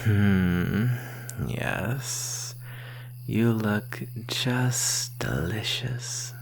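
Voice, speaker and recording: Centuries-old vampire ASMR actor in role: deep-set, breathy, whispery, predatory and teasing, very drawn-out delivery, faint hum behind. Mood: seductive menace. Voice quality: breathy, often dropping to whisper, creaky. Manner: slow, teasing, predatory drawl. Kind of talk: ASMR roleplay, slow close monologue.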